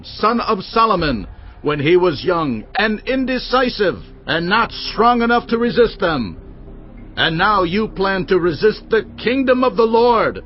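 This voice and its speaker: A man's voice: high voice, dull audio. An actor playing a righteous king addressing an enemy army, declaiming solemnly in a dramatized audio Bible.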